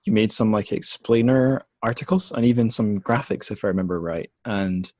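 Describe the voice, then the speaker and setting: Low-voiced man hosting, dry close sound. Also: normal speed, a little mellow, no echo. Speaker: podcast host. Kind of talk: casual interview chat.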